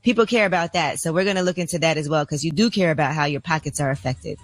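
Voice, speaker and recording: Brisk woman radio co-host. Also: low-pitched, no echo, soft background music. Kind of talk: morning radio chat and gossip news.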